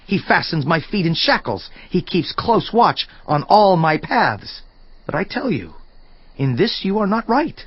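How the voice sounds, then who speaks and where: A man's voice: high voice, very animated, measured pace, husky, no echo, full character voice. A man playing an earnest, indignant counselor in a dramatized scripture reading, solemn, emphatic and declamatory in delivery. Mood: indignant mockery. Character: Elihu, earnest indignant male counselor rebuking a suffering man. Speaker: voice actor in audio Bible. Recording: slightly dull sound, music underneath. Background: background music.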